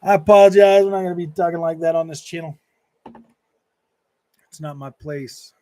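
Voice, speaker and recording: A man's voice: fairly high pitch, steady tone, moderate pace, dark tone, no echo. A man in his early forties chatting casually as a gaming streamer, rambling and repeating himself with lots of dude, man and bro. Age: early 40s. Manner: rambling, repetitive, slangy, calls listeners dude, man and bro. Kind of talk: casual livestream chat, answering and reading out viewer comments.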